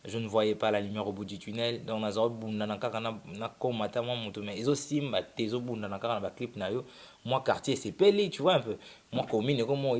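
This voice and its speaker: A young man from Congo-Kinshasa, a musician telling his story in a casual, rambling way, often restarting his sentences. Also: mid-range voice. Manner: relaxed, rambling, with many restarts. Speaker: musician guest. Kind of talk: casual interview, mixing French and Lingala.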